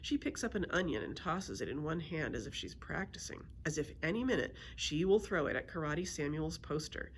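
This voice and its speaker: A woman reading a children's story aloud in an expressive storytelling style. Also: low-pitched, fairly quick, hardly any pauses, slight background noise. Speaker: library story reader.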